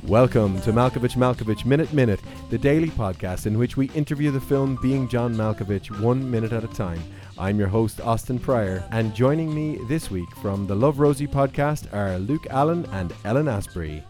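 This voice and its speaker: A man's voice: mid-range voice, animated, normal speed, few pauses, darkish tone, soft background music. A man hosting a podcast in a playful, jokey way, interviewing guests about a film. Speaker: podcast host. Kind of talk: casual chat.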